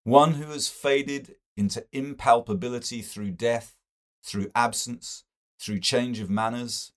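A man's voice: mid-range voice, steady tone, slow pace, voice pushed up, lightly acted. A man voicing a caustic young intellectual in an audiobook, declaiming with tingling energy. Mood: intense.

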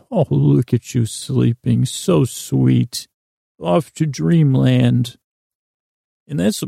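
A man hosting a bedtime podcast, giving a rambling, improvised monologue that meanders haltingly and keeps stopping and starting. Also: mid-range voice, vocal fry, dark tone, no echo, clean studio sound. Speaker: podcast host and bedtime storyteller.

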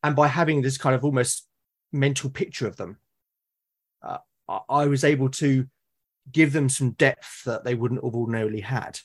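A British man in casual, reflective conversation, explaining things in a halting, thoughtful way and often rephrasing himself. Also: medium pitch. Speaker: podcast guest or co-host, tabletop game master. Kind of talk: casual reflective conversation about roleplaying games.

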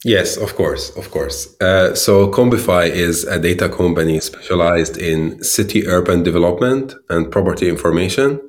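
A man from Syria, a startup founder in his thirties, answering interview questions with many pauses and restarts. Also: low voice. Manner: hesitant, many fillers and restarts.

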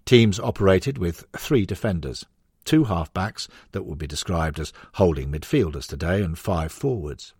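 A man's voice: sits low, lively intonation, moderate pace, a little mellow, breathy edge, dry recording, studio quality. A man narrating a non-fiction sports history book in a scripted audiobook reading.

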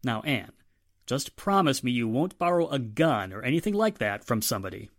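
A man's voice: mid-range voice, big pitch swings, moderate pace, close to an everyday voice. A man playing a stern, fatherly police inspector in a 1940s-style radio drama, admonishing and authoritative. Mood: wary.

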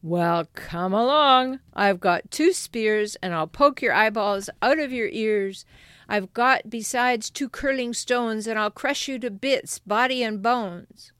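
Woman putting on a big, loud, hoarse billy goat. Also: a little high, slow, no room sound, clean studio sound. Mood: defiant. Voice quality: hoarse, ugly voice. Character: big bold billy goat, fierce and defiant.

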